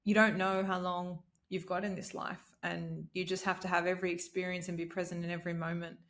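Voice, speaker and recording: A woman in her late twenties speaks in a lowish voice with plenty of vocal fry. She is earnest and motivational, sharing reflections in a relaxed podcast chat. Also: a little fast. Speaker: podcast conversation partner. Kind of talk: casual, reflective podcast conversation.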